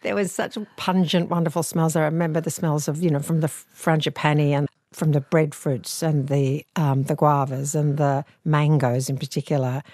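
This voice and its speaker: A woman in her sixties with an Australian accent, an actor recalling her family history in a measured, reflective way. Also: low-pitched, little pitch movement, no echo, studio quality. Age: 60s. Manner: thoughtful, measured, gentle. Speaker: actor and author guest. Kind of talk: reflective interview storytelling. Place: living room, seated on a sofa.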